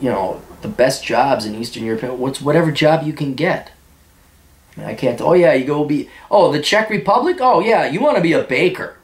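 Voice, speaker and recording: A man hosting a podcast, answering listener questions in a blunt, sarcastic way and mocking some of them in high put-on voices. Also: roomy sound. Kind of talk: blunt casual monologue reading out and answering listener mail.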